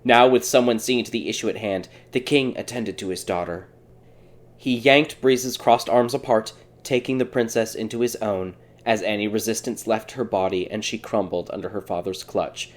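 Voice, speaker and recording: A man's voice: on the low side, steady tone, normal speed. A man reading a scripted fairy tale as a dramatic audiobook narrator.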